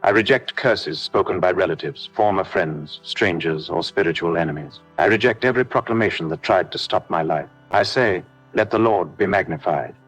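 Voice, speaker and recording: A man's voice: sits low, normal speed, hardly any pauses, a bit bright, slightly rough, low-quality recording. A man leading a scripted warfare prayer in solemn, emphatic, repeated declarations. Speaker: prayer leader. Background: faint background music.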